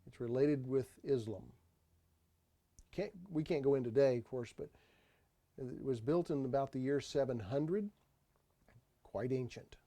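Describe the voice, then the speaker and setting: A man giving an unscripted tour voice-over, explaining sights in a halting way and often repeating his phrases. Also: medium pitch, mellow. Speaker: tour narrator. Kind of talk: unscripted travel video voice-over.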